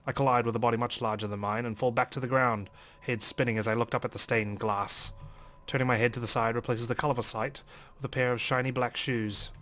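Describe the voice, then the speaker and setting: A man doing a let's play, reading a game's narration aloud in an expressive, dramatic storytelling way. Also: medium pitch, fairly quick, a little hiss or hum. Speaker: let's player.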